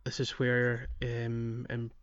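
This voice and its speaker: Man, middling pitch, dull lo-fi sound, halting delivery, casual gaming podcast chat. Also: completely flat delivery. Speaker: podcast co-host. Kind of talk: casual video game discussion.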